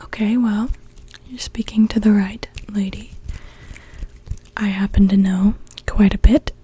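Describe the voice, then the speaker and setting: A woman voicing a calm, mysterious sea-cove witch in an ASMR roleplay, speaking gently and slowly in a soft breathy whisper. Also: medium pitch, poor audio. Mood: calm, curious. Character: calm mysterious cove witch, part mermaid, potion brewer.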